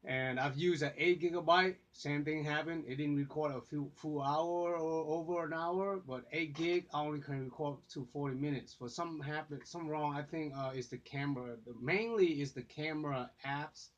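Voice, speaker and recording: A man's voice: fairly high pitch, moderate pace, few pauses. Man rambling to a phone camera. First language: Vietnamese. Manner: rambling, many fillers and restarts. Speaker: vlogger. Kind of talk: casual talk to camera. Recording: dull lo-fi phone audio.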